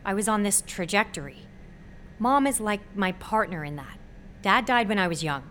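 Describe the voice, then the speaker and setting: A woman's voice: medium pitch, expressive, moderate pace, a bit bright, slight background noise, lightly acted. An actress playing an intense, wry, guarded young woman in her twenties, in natural audio drama dialogue. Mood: earnest, guarded.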